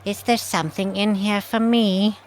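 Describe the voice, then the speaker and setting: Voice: medium pitch, little pitch movement, normal speed, a little hiss or hum, close to an everyday voice. An actor playing a haughty, commanding young queen who wheedles to get her way in a fantasy audio drama. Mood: startled, demanding.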